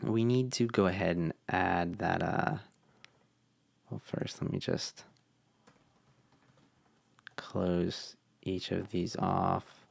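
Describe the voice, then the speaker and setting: A young man talking through a casual coding tutorial, relaxed and thinking aloud with fillers, his voice tired from long meetings. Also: deep voice, slow, creaky, pauses often, no room sound. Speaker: web developer.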